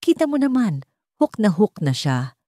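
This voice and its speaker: A woman's voice: medium pitch, sing-song, moderate pace, dark tone, dry recording, clean studio sound, voice pushed up, lightly acted. Woman voicing a cocky, teasing young guy. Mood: mocking, impressed. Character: cocky young male friend teasing about a bet.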